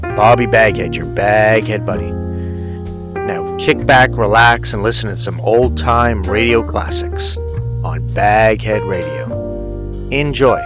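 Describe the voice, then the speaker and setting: Slow, low-pitched man hosting a show. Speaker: radio show host. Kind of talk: show introduction. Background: plucked guitar music.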